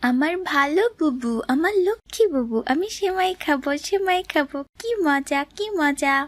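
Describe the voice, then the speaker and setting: A woman voicing an innocent, excitable little boy, a poor younger brother in a cartoon, with a pleading manner. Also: high-pitched, moderate pace, no room sound, natural voice. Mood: eager, pleading. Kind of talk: cartoon drama voice acting.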